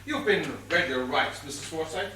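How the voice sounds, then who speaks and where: A man's voice: fairly high pitch, animated, moderate pace, bright, forceful tone, a little hiss or hum. An actor on stage playing a veteran police inspector, stern, formal and exasperated as he interrogates a suspect. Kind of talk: stage comedy acting. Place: stage of a theatre. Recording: echoey theatre, distant mic.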